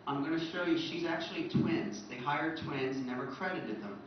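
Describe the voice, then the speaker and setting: A man's voice: fairly high pitch, moderate pace. Male convention presenter, informal lecture delivery, very reverberant room, muffled pickup. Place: large echoey presentation room. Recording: echoey room, distant muffled mic, faint noise.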